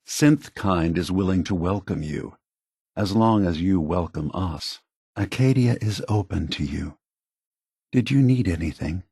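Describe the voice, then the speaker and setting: An actor playing a gentle, weary old male android leader in a video game, husky and soft-spoken, with a measured, contemplative, earnest manner. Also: sits low, dry recording, clean studio sound, full character voice. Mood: calm, welcoming. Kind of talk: video game character dialogue.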